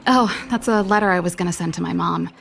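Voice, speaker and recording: A young, spirited big sister from a video game, an inventor and musician. Her voice sits a little low with a gravelly edge. She is teasing and expressive, with a wistful streak, over soft background music. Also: fast talker. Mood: lively, teasing. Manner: breezy, expressive, with sighs and trailing reflection.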